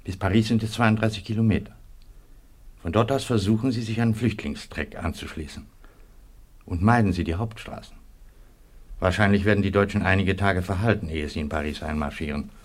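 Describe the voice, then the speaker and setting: A firm, low-voiced colonel. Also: male voice, fairly quick. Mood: urgent, commanding. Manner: firm, commanding, urgent. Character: resolute army colonel, camp commander. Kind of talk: radio play acting.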